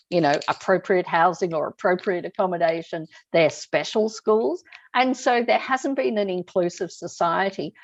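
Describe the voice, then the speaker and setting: An Australian woman in her sixties, a guest on a video call chat, explaining her points in a thoughtful, measured way. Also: lowish voice, vocal fry, dry recording. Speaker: guest speaker. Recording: dull, dry video-call audio.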